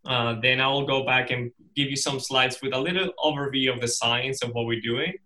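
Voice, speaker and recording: A man's voice: mid-range voice, fairly even intonation, a little fast, pushed and bright. A middle-aged man from Venezuela, a guest speaker telling the story of his career to an audience. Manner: storytelling, with restarts and laughs. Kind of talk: personal story talk to an audience.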